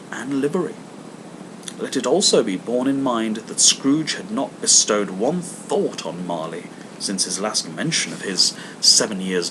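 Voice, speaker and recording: A man reading a classic ghost story aloud in an expressive, dramatic manner with emphatic stresses. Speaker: audiobook reader. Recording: clear background noise, low rumble and hum.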